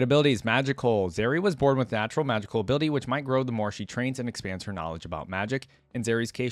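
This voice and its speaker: A man's voice: fairly low pitch, no room sound. A man hosting a reaction video, commenting casually and thinking aloud in an informal way as he goes.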